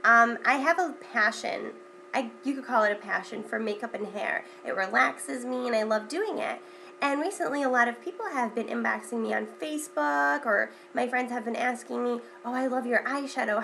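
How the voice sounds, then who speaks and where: A woman's voice: fairly high pitch, normal speed, vocal fry, sharp tone, a little hiss or hum. A young woman with a stuffy, congested voice, chatting casually as a beauty vlogger, with lots of ums and repeated words. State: congested from a cold. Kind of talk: casual makeup tutorial chat.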